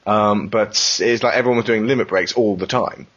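A man's voice: lowish voice, brisk, lo-fi sound. A man in a casual podcast discussion, talking in a wry, rambling, sarcastic way. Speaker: podcast panelist.

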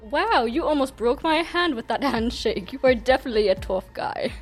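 A woman's voice: high voice, lively intonation, moderate pace. A woman playing a melodramatic, flirtatious lady scientist in an amateur dramatic script reading, a little giggly. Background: suspenseful music.

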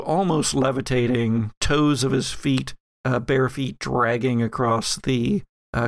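Male game master for tabletop audio, mid-pitched, playful, halting storyteller, very dry recording. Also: dry recording. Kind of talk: narrating an actual-play tabletop game.

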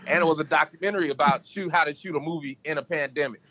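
A man's voice: very fast, bright, forceful tone. A man guest on a podcast, talking in a casual, emphatic, storytelling way. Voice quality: can go deep. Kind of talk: casual interview talk.

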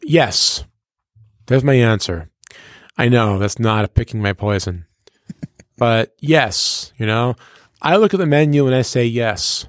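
A man's voice: mid-range voice, dry recording, studio quality. A man talking as a radio host in a casual, slangy interview style with showy, flourished phrasing.

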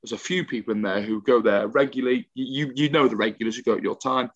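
A young English man co-hosting a podcast in casual chat, ranting with animated, emphatic energy. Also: medium pitch, quick pace, dry recording. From England. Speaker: podcast co-host. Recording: dull dry home mic.

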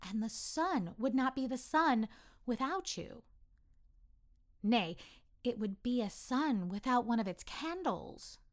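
Storybook God, voiced by a woman: smiling, wise, patient, very expressive, unhurried delivery. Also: on the high side, voice pushed up. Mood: patient, explaining. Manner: gentle, deliberate, explanatory.

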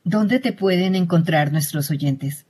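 Husky-voiced female interview host. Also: on the low side, slow, mellow. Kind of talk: scripted interview questions.

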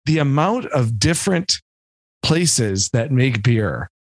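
A man from Michigan, mid-pitched, trades wry jokes in a relaxed podcast chat. His voice sits close and dry on the mic. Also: takes it slowly, no room sound. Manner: wry, joking. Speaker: podcast co-host. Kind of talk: casual chat. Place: home.

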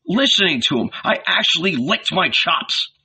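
Enthusiastic storybook cobbler played by a man, raised, lightly raspy voice, colloquial and admiring. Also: high voice, very animated, moderate pace, sharp tone, pitched above the performer's own voice, exaggerated voice. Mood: delighted. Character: gangling cobbler, simple, enthusiastic, delighted admirer.

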